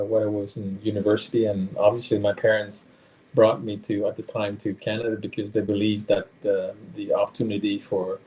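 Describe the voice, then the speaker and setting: A man's voice: on the low side, mellow, low-quality recording. A middle-aged man, a guest talking casually and hesitantly with many fillers and restarts. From France. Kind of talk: casual conversation.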